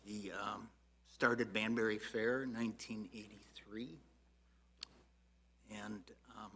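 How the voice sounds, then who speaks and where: An older man introducing himself at a meeting in a deliberate, halting manner. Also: lowish voice, fairly even intonation, sharp tone, slight background noise. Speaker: commission member. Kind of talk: informal self-introduction at a meeting.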